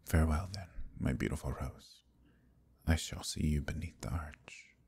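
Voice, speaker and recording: A man playing a proud, possessive fae prince in an intimate ASMR roleplay, husky and breathy, with measured, courtly speech that dips into whispers. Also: very deep voice, a little mellow, full character voice. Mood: adoring. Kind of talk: ASMR roleplay, intimate archaic theatrical acting.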